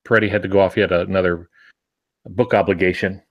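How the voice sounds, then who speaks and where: A man with a low voice talks casually as an author on a writing podcast. His delivery is halting and rambling, with frequent pauses as he explains things. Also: steady tone, dull audio. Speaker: novelist and podcast panelist. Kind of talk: casual podcast chat about books and writing.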